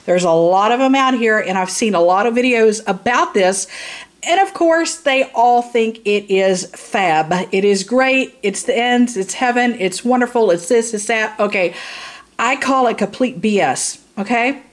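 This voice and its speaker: Woman, fairly high voice, tired. Unscripted reviewer, blunt, informal, circles back to repeat points. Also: a little slow. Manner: rambling, repeats herself for emphasis. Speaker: product reviewer and hairstylist. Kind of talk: casual, unscripted product review.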